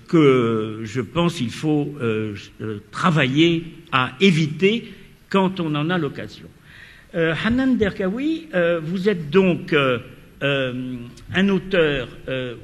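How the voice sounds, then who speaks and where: A man moderating a literary panel discussion, asking long questions with many hesitations and fillers. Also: on the high side. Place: lecture hall. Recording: reverberant lecture hall.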